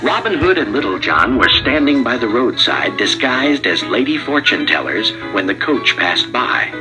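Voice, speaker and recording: A man narrating a children's read-along storybook in an expressive, scripted read-aloud style. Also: medium pitch, normal speed, rough recording. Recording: music playing behind narration. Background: music.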